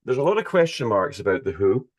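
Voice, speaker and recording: A man's voice: mid-range voice, very animated, fast talker. A man hosting an interview, speaking in an emphatic, rhetorical way. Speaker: interviewer, host.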